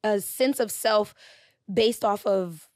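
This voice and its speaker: A young woman from Pasadena with a slightly raspy voice, chatting as a guest on an interview podcast, full of fillers and quick laughs. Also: medium pitch, no echo, clean studio sound. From Pasadena, California. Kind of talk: casual interview chat.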